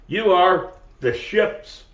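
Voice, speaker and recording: A man's voice: sits high, animated, extremely slow, a bit bright. A man hosting a podcast, talking casually in a rambling, self-correcting, jokey way. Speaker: podcast host. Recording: roomy, distant, dull lo-fi sound.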